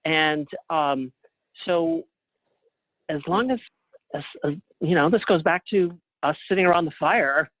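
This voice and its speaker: High-pitched man on a phone-like line. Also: unhurried, long pauses, dry recording. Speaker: playwright, interview guest. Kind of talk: casual conversational interview.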